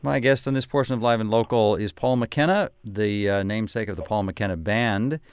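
An American man hosts a radio interview from a studio, his somewhat low voice smooth and clear. The sound is dry and close-miked, and he hesitates often, stacking fillers and correcting himself mid-sentence. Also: a little fast, no echo. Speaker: radio host.

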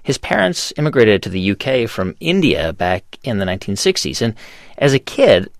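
A male radio host narrating a scene and asking interview questions in a lively, storytelling way. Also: on the low side, moderate pace, gravelly, no echo, clean studio sound.

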